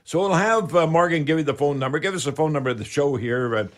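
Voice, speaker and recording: A man's voice: a little high, fast talker. An older man in his seventies with a Canadian accent hosts a live radio show in a casual, rambling, chatty, folksy way.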